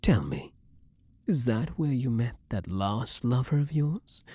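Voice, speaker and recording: Man playing a breathy, brooding vampire king. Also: medium pitch, sing-song, warm tone, no room sound, exaggerated voice. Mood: haughty. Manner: formal archaic phrasing, dramatic pauses, breathy murmurs. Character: centuries-old vampire king, haughty, brooding, secretly romantic. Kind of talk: intimate ASMR roleplay monologue.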